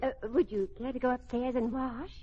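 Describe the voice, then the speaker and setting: A woman's voice: sits high, steady tone, brisk, natural voice. An actress playing a flustered middle-aged mother, stammering and fluttery, in a radio comedy. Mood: fluttery, bashful. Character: flustered, nervous middle-aged mother awaiting her fiancé. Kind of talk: 1940s-50s radio comedy acting. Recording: dull old radio recording, studio audience, hiss.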